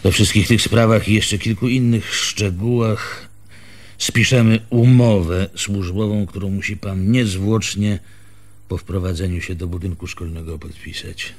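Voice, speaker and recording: An actor in a radio play as a pompous, officious male village schoolteacher, speaking formally and pedantically in a husky voice. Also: on the low side, steady tone, unhurried. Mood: officious, stern. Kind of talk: Polish radio theatre acting.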